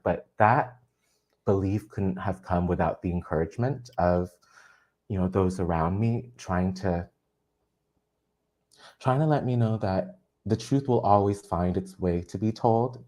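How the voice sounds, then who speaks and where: A poet whose first language is Vietnamese, speaking earnestly about their craft in a lively conversation and laughing often. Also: deep voice. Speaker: poet guest.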